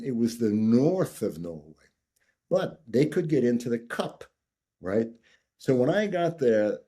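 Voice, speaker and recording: An older man from the United States spins a story with wide swings in pitch, leaning into punchlines and slipping into quick voices for the people he quotes. His voice has a husky, slightly rough edge. He keeps the listener close by tagging his lines with "right?". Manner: animated raconteur, repeats "right?", acts out quoted voices. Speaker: guest. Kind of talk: storytelling in conversation.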